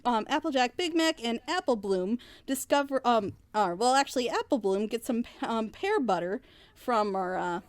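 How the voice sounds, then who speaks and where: A woman with a high-pitched voice gives a casual, opinionated review. She rambles through her explanations emphatically, leaning on rhetorical questions. The sound is dry, with hardly any room echo. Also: lively intonation, moderate pace. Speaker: reviewer on a reaction show.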